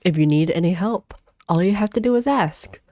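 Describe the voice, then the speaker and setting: Gentle, lonely older innkeeper man read by a woman, fantasy game, lowish, dry sound. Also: lively intonation, normal speed, no echo, natural voice. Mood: friendly.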